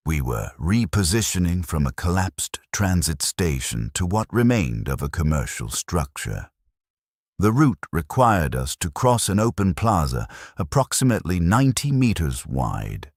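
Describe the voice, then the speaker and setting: A man reading scripted first-person war fiction in a grave, measured manner with short clipped sentences. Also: sits low, darkish tone, no room sound, studio quality. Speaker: narrator of science fiction war stories.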